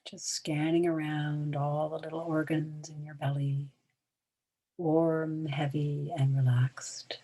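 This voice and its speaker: A woman leading a guided relaxation in a soothing, hushed manner, leaving long pauses between short phrases, with a breathy tone that trails toward a whisper. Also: deep voice, level tone, low-quality recording. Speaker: meditation guide.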